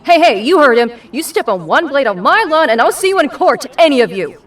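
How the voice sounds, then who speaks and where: A woman's voice: sits high, very animated, brisk. A woman playing a gushing, insistent, protective mother of a teenager in an audio drama. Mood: angry.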